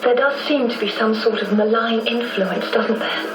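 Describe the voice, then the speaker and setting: An actress playing a sorrowful, gently reproachful young lady in a radio drama, with halting, wistful delivery. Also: on the high side, music in the background, close to an everyday voice. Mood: sad, reproachful. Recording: noisy, echoey off-air radio copy, distant.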